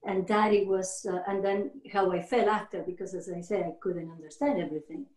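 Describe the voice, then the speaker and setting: A middle-aged woman from Argentina with an Argentine Spanish accent. She is a yoga teacher being interviewed, speaking halting second-language English with many restarts and frequent laughter. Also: mid-range voice, fairly even intonation, darkish tone. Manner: laughs often. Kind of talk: casual interview conversation. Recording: roomy echo.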